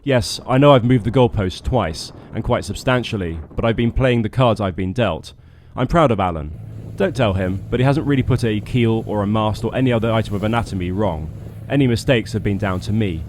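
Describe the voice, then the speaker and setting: A man's voice: on the low side, brisk, few pauses, darkish tone, a little hiss or hum. A British man narrating a scripted vlog as a boat skipper, with a wry, dryly humorous manner. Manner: wry, dry humour.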